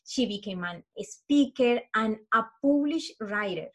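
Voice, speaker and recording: A woman's voice: on the high side, animated, a little slow, fairly bright tone. A woman hosting an interview show, introducing her guest in an earnest, effusive way with small stumbles. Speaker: podcast host.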